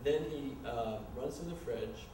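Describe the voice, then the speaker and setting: A man narrating stage directions at a live staged reading in an informal, halting way. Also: mid-range voice. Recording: echoey, noisy room from far off.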